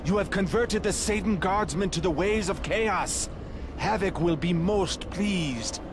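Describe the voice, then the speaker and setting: A man's voice: high voice, measured pace. An actor playing a hooded male saboteur and follower of chaos in a video game, speaking in formal phrases. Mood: elated. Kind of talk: scripted video game dialogue. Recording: noisy game ambience behind voice.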